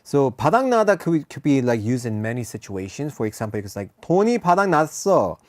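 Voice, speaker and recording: A man's voice: medium pitch, dry recording. A man hosting a casual radio show and teaching expressions, laughing often. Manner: fast, animated, switching between English and Korean, laughs often. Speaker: radio DJ and Korean language teacher. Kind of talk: casual radio chat with language lesson.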